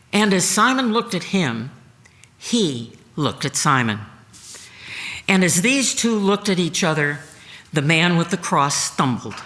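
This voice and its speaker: A woman reading a dramatic devotional narration at a church service, solemn and expressive in her storytelling. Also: low voice. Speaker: reader at a church Good Friday service. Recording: long reverb of a large room.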